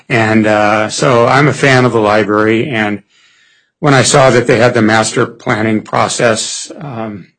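Hoarse older man, gravelly and low. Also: fairly bright tone, low-quality recording. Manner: halting, many fillers and restarts. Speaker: applicant for a board seat. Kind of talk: answering interview questions at a public meeting.

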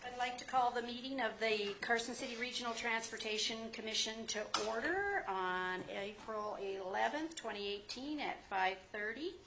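A woman's voice: a little high, moderate pace, hardly any pauses, noisy background. Formal woman chairing a public meeting. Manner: formal, procedural. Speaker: commission chairperson.

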